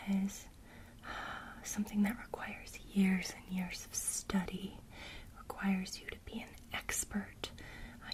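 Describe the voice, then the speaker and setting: A young woman whispering a gentle, intimate ASMR lesson as a witch teacher, close to the mic. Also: medium pitch, a little hiss or hum, low-quality recording. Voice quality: soft unvoiced whisper.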